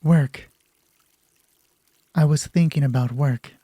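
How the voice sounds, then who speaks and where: Man voicing a guarded, husky career woman. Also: fairly high pitch, slow, warm tone, dry recording, studio quality. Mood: distracted, subdued. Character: weary, defensive career woman, a distracted wife.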